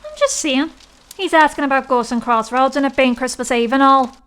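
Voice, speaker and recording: Casting: gossipy, superstitious Irish village woman, radio play. Higher voice, chatty and folksy, light noise behind. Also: brisk, a bit bright. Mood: eager, curious. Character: nosy, gossipy, superstitious village woman eager for ghost stories. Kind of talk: audio drama acting.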